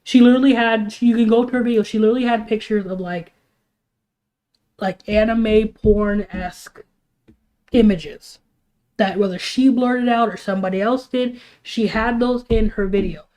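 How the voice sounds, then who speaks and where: A woman's voice: a little high, fairly even intonation, moderate pace, dark tone, low-quality recording. A young woman, sick and sniffling, ranting on a casual livestream with heated, rambling talk full of restarts and fillers. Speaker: streamer.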